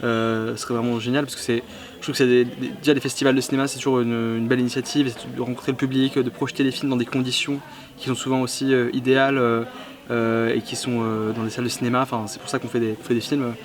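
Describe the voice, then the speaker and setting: A young man from the south of France, a film director, answering interview questions at a film festival, halting with many fillers and restarts. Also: mid-range voice, little pitch movement.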